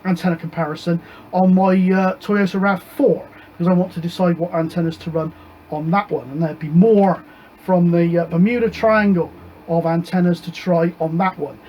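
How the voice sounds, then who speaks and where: Casting: British man, high voice, casual hobby presenter, hesitant and wandering delivery, some background noise. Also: fairly even intonation, normal speed, dark tone, poor audio. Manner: hesitant, rambling, informal. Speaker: amateur radio hobbyist presenting antenna tests. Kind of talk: casual explanatory vlog.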